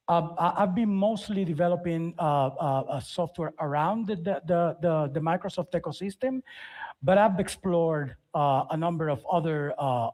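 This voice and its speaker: A man's voice: a little high, a little mellow. A middle-aged man speaks. He presents to a tech audience informally, feeling his way through sentences and doubling back on words. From Dominican Republic. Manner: halting, repeats words and phrases. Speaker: conference speaker, software developer.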